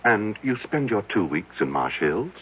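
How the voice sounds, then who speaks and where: An actor playing a patient doctor who draws out a confession with measured questions, in 1970s radio drama style. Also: male voice, lowish voice, sing-song, breathy edge. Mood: curious. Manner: measured, brief prompting questions. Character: patient, probing male doctor drawing out a confession. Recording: muffled, noisy old radio recording.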